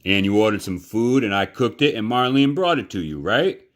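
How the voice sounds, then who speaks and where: A man's voice: fairly low pitch, lively intonation, normal speed, voice pushed up, natural voice. A man reading a storybook and voicing a big, domineering diner owner, blunt, insistent and confrontational. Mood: menacing, insistent. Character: large, menacing, domineering male diner owner and cook.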